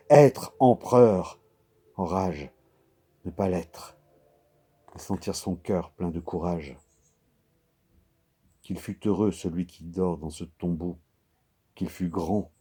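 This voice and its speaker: Brooding monarch, played by a male reader: low husky voice, slow solemn declamation, classic verse theatre. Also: mellow, heavily acted. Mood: yearning, bitter. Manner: declamatory, deliberate, solemn with long pauses. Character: brooding ambitious king, grandiose and awed before a tomb. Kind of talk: dramatic reading of classic verse theatre monologue.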